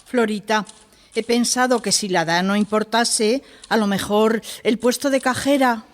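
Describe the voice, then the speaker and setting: A woman's voice: a little high, moderate pace, lightly acted. An actress playing a mature woman hopeful for a job in a theatrical radio drama. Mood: tentative.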